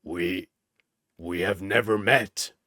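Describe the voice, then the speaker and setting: A man portrays an earnest, hoofed bull warrior from a fantasy tale. The voice drops deep and quiet, grave and unhurried. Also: dry recording, studio quality, heavily acted. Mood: puzzled.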